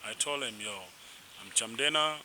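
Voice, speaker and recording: A young man from South Sudan, a musician being interviewed, telling his story in a rambling, animated way with restarts. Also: mid-range voice, moderate pace. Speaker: musician guest. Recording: noisy background.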